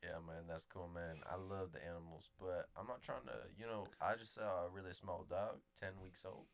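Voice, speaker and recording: A man co-hosting a comedy podcast, rambling through absurd improvised bits in a deadpan way. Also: low-pitched, normal speed, slight background noise. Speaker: podcast co-host.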